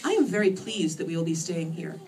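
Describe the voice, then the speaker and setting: A woman voicing a formal, literal-minded female monster with a precise, deadpan and politely bloodthirsty way of talking. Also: lowish voice, brisk, pitched below the performer's own voice. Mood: earnest, pleased. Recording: distant microphone in a room.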